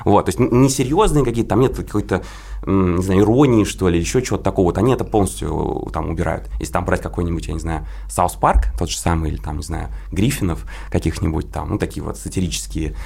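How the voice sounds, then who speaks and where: A man's voice: sits low, fast, creaky, a little mellow, slightly rough. A man in a casual interview, explaining things in a rambling, hedging way. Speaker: guest, creative partner in an illustration and games project.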